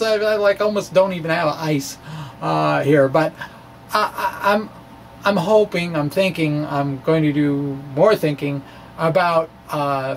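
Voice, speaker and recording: A man giving a dramatic, halting reading of his physics blog, with self-deprecating off-script asides. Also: a little high, gravelly, a little hiss or hum. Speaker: physics blogger presenting his own talk.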